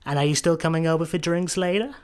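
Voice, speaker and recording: An actor with a Scottish accent playing an excitable, exasperated spaceship captain in a sci-fi comedy radio play. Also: male voice, a little high, quick pace, smooth, natural voice. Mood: casual. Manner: exclamatory, griping.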